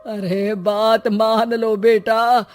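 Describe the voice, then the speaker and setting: A woman's voice: a little high, level tone, drawn-out words, darkish tone, faint music behind. A woman voicing a cautious older village headman with a hesitant, admonishing manner in a cartoon story. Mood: pleading, grave.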